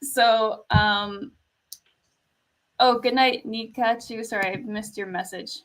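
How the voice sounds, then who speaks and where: Young woman, quiet speaking voice, bright tone, casual chat, chatty storyteller. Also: on the high side, steady tone, slow pace, poor audio. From Canada. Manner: storytelling, laughs often, says "like" a lot.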